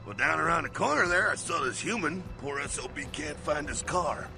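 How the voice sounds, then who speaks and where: An actor playing a brash, streetwise vampire mentor in a video game, gravelly, slangy and swaggering, with a rough jovial streak. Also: male voice, fairly high pitch, expressive, fairly quick. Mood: gleeful, teasing. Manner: slangy, folksy, swaggering, swears. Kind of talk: video game character acting. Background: music.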